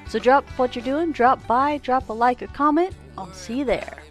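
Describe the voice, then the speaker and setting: A woman's voice: high voice, expressive, normal speed. A woman reading a scripted promotional advert as an announcer. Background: music.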